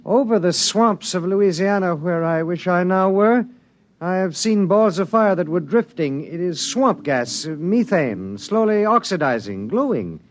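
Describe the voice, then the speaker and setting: An actor in a 1950s radio drama playing an idle, fussy gentleman who hates the outdoors and talks in a languid, sardonic way. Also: sits high, expressive, measured pace.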